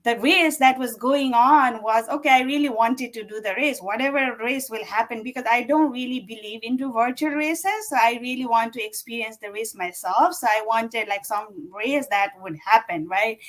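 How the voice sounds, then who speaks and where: A woman's voice: on the high side, normal speed, hardly any pauses, low-quality recording. A woman, a guest chatting casually and rambling with many fillers. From Nepal. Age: 40s. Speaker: guest runner. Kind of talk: casual interview chat.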